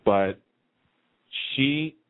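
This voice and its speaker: A man's voice: mid-range voice, lively intonation, very slow. A man hosting a casual, opinionated radio chat about television, speaking emphatically and repeating phrases for stress. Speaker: radio show host. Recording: narrow, phone-like radio line.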